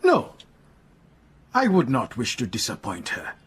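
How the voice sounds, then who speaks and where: An actor playing a gentle, polite suitor in a radio drama, halting and formal. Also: male voice, mid-range voice, huge pitch swings, husky, full character voice. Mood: hesitant, doubtful. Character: hesitant, polite, gentle suitor, worried about expense.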